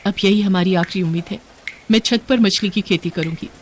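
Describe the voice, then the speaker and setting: A woman's voice: mid-range voice, a little fast, slight background noise, close to an everyday voice, rough recording. A woman voicing a dutiful, hopeful young village daughter-in-law in a story, with an earnest, pleading manner. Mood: determined.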